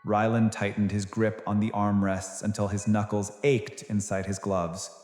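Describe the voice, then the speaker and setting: A man's voice: fairly low pitch, level tone, moderate pace, darkish tone, roomy sound, big-room sound. A man reading scripted, dramatic science fiction narration as the narrator of an audio drama. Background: music.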